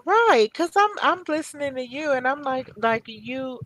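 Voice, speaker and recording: A woman's voice: high-pitched, animated, a little slow, fairly bright tone. A middle-aged woman hosting a talk show over a video call, talking casually and hunting for words with lots of fillers. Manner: rambling, searching for words with many fillers.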